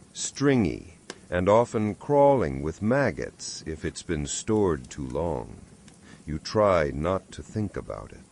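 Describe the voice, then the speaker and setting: A man's voice: low-pitched, darkish tone, a little hiss or hum. A man delivering scripted history narration in a wry, sardonic storytelling manner, addressing the listener directly.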